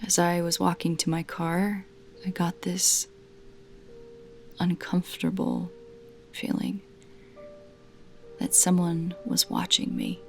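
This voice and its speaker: Slow, lower-pitched woman narrating horror. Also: wildly swooping pitch, creaky, soft background music. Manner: measured, dramatic pauses between short phrases. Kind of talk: scripted narration, first-person creepy story.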